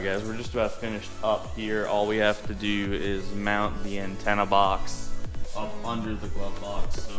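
A young man with a fairly low voice hosts a casual how-to vlog from a garage. He explains things in an informal, folksy way, talking to a nearby camera. Music plays behind his voice. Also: sharp tone. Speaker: host of a car modification vlog. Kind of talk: casual hands-on tutorial to camera. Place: home garage, partly inside a vehicle.

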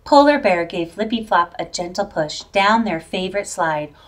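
Animated middle-aged woman reading a picture book. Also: fairly low pitch, slight background noise. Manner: animated, drawn-out, dramatic delivery for young children. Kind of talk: expressive children's read-aloud. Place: plain-walled indoor room. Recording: voice picked up from a distance.

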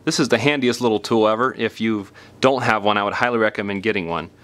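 A man's voice: lowish voice, quick pace, fairly bright tone. A man hosting a do-it-yourself tutorial, explaining each step in a plain, informal way as he demonstrates. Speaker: repair tutorial host. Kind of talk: casual step-by-step hands-on demonstration.

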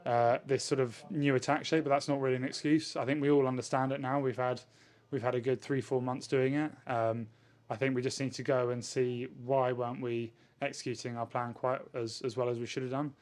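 A man, a rugby player answering questions in a post-match interview in a measured way. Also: mid-range voice, little pitch movement, darkish tone. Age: 20s. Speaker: professional rugby player, winger. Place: indoors in front of a sponsor backdrop. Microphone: handheld interview microphone held at chest height.

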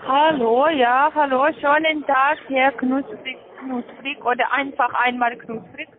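A woman with a foreign accent giving a sales pitch over the phone, in halting, careful non-native phrasing. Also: fairly even intonation, background noise. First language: not German. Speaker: call centre account manager. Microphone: telephone. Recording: muffled narrow-band phone line.

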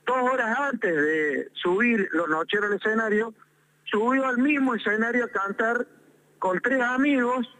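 A man with an Argentine accent speaks over a tinny phone line, his voice high and bright. He is insistent and aggrieved, hammering his complaint home and repeating phrases for emphasis. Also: a little slow. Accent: Argentine Spanish. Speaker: mayor calling in. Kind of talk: phone interview.

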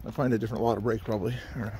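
Gravelly man rambling on a forest hike. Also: on the low side, level tone, rapid-fire, warm tone, slight background noise. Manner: chatty, easygoing, talks to himself and the camera. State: sniffly. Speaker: hiker vlogger. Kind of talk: casual rambling hike narration. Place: forest trail outdoors.

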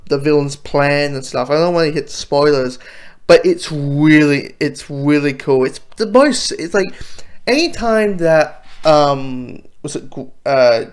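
High-voiced man giving an enthusiastic review. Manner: enthusiastic, rambling with frequent self-interruptions. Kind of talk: casual cartoon episode review.

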